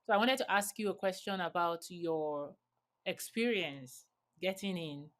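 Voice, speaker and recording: A woman hosting a conversation, her voice slightly low. She asks careful, probing follow-up questions, pausing with an occasional um. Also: a bit bright. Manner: measured, probing questions with fillers. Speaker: podcast host. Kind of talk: interview questions.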